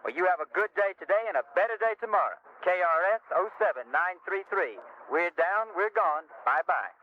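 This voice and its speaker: A man's voice: high-pitched, lively intonation, fairly quick, slight background noise. A man playing an easygoing, sociable CB hobbyist, speaking folksy slang through a radio filter. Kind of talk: scripted CB radio role-play. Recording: tinny band-limited CB radio.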